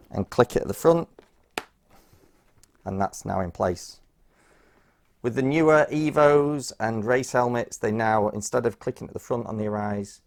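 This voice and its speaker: Gravelly-voiced man giving a practical tutorial. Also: on the low side, darkish tone. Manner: practical, instructional, informal. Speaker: presenter of a how-to product guide. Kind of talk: hands-on step-by-step tutorial narration.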